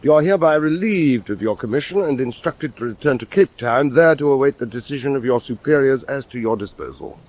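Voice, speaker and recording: An actor as a stern, formal officer. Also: male voice, mid-range voice, animated, darkish tone, lightly acted. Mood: cold, official. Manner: formal, measured, unyielding. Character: stern, formal British senior naval officer, cold and official. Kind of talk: period radio drama acting.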